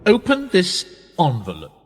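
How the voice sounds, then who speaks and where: An actor playing a sly, persuasive older conspirator in a radio play, theatrical and insinuating, with husky, drawn-out, often hushed phrases. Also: male voice, high-pitched, wildly swooping pitch, a little hiss or hum, exaggerated voice. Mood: intent. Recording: echoey hall-like reverb.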